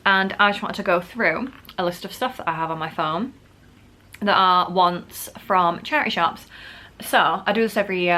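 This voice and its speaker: A woman's voice: fairly low pitch, bright, forceful tone, slight background noise. A woman vlogger, sick and wheezy with a bad cough, chatting casually to camera and poking fun at herself. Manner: chatty, self-mocking, many "like" fillers.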